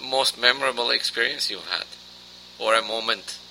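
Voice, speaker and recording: A man's voice: mid-range voice, normal speed, gravelly, sharp tone, a little hiss or hum, poor audio. A man hosting a radio interview, asking questions and reacting briefly. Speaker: radio host.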